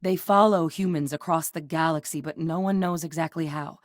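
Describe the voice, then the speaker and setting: A seasoned woman scientist and soldier, played by an actress for a video game. Her voice sits on the low side and is recorded close and dry, with no room sound. She speaks in a measured, matter-of-fact way, guarded yet earnest and determined. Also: steady tone, no echo, lightly acted. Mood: serious, measured. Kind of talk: video game character dialogue.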